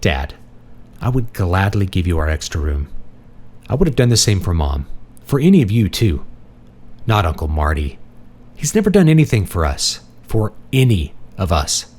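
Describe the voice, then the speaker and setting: Angry adult son read by a male narrator, resentful and emphatic, lively swings in pitch, family audiobook drama. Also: a little slow. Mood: indignant. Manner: emphatic, indignant.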